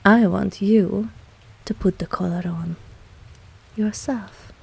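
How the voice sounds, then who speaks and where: A woman playing a dominant, possessive vampire mistress in an intimate ASMR audio roleplay, cool and seductive, speaking deliberately and often dropping into a whisper. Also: medium pitch, fairly even intonation, gravelly, darkish tone, slight background noise. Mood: stern, teasing. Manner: slow, deliberate, commanding, teasing.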